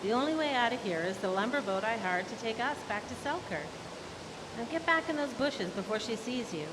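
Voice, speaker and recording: Woman playing crafty radio-drama schemer, high female voice, reverberant hall, clearly noisy sound. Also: lively intonation, brisk, close to an everyday voice. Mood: brisk, coaxing. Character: shrewd, scheming woman double-crossing her crooked lover. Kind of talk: old-time radio drama stage acting. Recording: noisy, echoey live hall recording.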